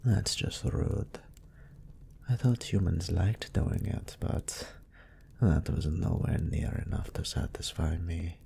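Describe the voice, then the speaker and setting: A man playing a smug, lustful demon incubus in an audio roleplay, musing languidly and sardonically in a gravelly, breathy voice. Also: sits low, dark tone, slight background noise, exaggerated voice. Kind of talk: scripted character monologue in audio roleplay.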